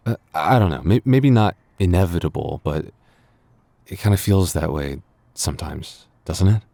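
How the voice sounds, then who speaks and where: A man's voice: low voice, animated, moderate pace, gravelly, mellow, no echo. A man playing a flustered, lovestruck young man in a boyfriend roleplay audio, stammering and bashful. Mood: wistful, anxious. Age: young adult. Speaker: voice actor.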